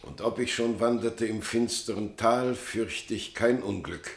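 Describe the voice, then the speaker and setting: Grave, slow, gravelly father. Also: on the low side. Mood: anguished, fervent. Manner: solemn, halting. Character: grave, anguished husband and father, brooding. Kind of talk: German radio play acting.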